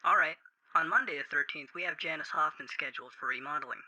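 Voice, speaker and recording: Man playing creepy inhuman call-center operator, creepypasta drama, phone filter, highish, very sharp, scripted politeness, coldly cheerful. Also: fast talker, low-quality recording. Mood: businesslike, polite. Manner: scripted, formal, coldly courteous customer-service patter.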